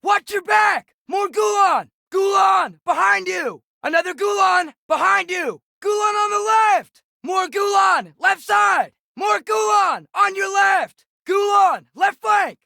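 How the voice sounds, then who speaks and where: A man's voice: very high-pitched, slow, gravelly, hardly any pauses, bright, forceful tone, dry recording, raised voice, clean studio sound, heavily acted. A young male actor playing a teenage boy warrior in a video game, calling out short, urgent combat warnings to his father. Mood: urgent, alarmed. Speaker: game companion character.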